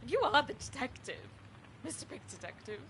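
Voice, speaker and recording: Woman as a lilting, high-voiced teasing lady. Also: very animated, moderate pace, vocal fry, background noise, heavily acted. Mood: gossipy, teasing. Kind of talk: video game character acting.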